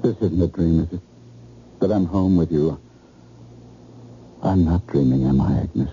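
A haunted, guilt-ridden husband in an old radio drama, with a low voice and a heavy, slow delivery. He sounds defeated and repeats himself, on a dull, noisy vintage recording. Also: warm tone. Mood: haunted, dazed. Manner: halting, repetitive, defeated.

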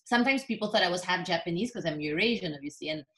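Woman from the Philippines, low voice, middle-aged, earnest guest with lots of fillers. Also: expressive, rapid-fire, fairly bright tone. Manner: earnest and enthusiastic, with frequent fillers. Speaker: podcast guest. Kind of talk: conversational interview.

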